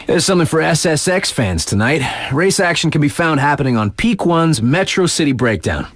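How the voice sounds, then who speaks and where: A man's voice: mid-range voice, dry recording, studio quality. An actor playing a laid-back, hip male radio DJ in a video game, delivering scripted snowboard news with casual, slangy asides. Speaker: radio DJ announcer.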